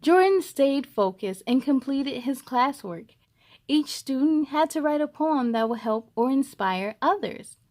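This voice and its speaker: A woman hosting a children's read-aloud, expressive and encouraging as she speaks to young listeners. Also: high-pitched, unhurried. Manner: expressive, encouraging, addresses young viewers directly. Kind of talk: animated storybook reading for children.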